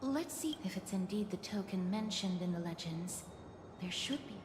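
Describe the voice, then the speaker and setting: Een vrouw speelt een kalme, fluisterende gids. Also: female voice, medium pitch, lively intonation, background noise, natural voice. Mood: calm. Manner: soft, measured, often near a whisper. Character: young woman, composed and mysterious guide. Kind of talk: video game voice acting. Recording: roomy sound with game music.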